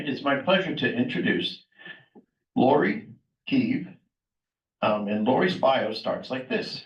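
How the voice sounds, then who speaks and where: An older man introducing a guest speaker at a club meeting, plainspoken and a little reluctant, reading from notes. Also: mid-range voice. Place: meeting room. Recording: distant mic, echoey room, dull tone.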